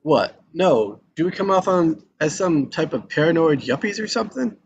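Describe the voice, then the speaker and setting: A man's voice: on the high side, very animated, moderate pace. A man reading the part of a hesitant yuppie husband in a screenplay table read, dry and noncommittal. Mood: wry. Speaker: actor in a live table read. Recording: dull mic with faint noise.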